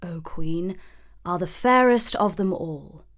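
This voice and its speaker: A woman voicing a solemn magic mirror spirit in a storybook, speaking in stately, archaic, measured rhyme. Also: lowish voice, animated, a bit bright, pitched below the performer's own voice.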